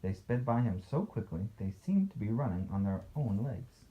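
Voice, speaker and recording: A man's voice: on the low side, sing-song, normal speed, muffled recording. A man reading a picture book aloud as a father to a child, playful, with casual asides and questions.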